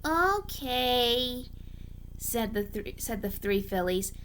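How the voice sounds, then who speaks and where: A high-pitched woman narrates a children's-style story with very lively intonation. She reads from a script, occasionally tripping over words, and there is faint noise in the recording. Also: measured pace. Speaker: amateur story reader. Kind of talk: scripted narration, reading fan fiction aloud.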